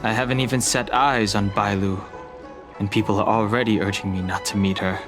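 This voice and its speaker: A man's voice: lowish voice, fairly even intonation, brisk, natural voice. An actor playing a reserved, burdened young dragon-kin man in a video game, speaking in a restrained, solemn way over music. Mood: reserved, weary.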